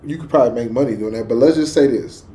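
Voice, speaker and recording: A man's voice: mid-range voice, fast talker, a crowd in the background. A man hosting a reaction show with casual, slangy, emphatic commentary, often repeating his phrases.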